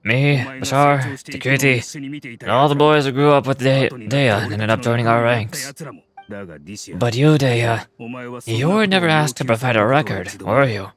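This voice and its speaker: A man voicing a grave, loyal desert gang member in a game, explaining things in a measured amateur read. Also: mid-range voice, a crowd in the background, natural voice. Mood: grave, explanatory. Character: grave, loyal male desert gang member recounting his boss's sacrifice. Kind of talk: amateur voice acting of game dialogue.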